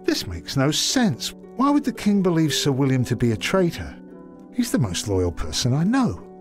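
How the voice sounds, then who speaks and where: Modest game knight, average pitch, very expressive, hesitant, faint music. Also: male voice, moderate pace. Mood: puzzled. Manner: hesitant, earnest. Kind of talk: video game cutscene acting.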